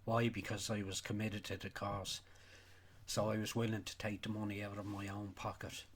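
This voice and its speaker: Blunt, sweary Irish man, lowish voice. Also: completely flat delivery, normal speed. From Ireland. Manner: blunt, sweary, emphatic with repetition. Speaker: livestream host. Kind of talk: unscripted opinionated rant.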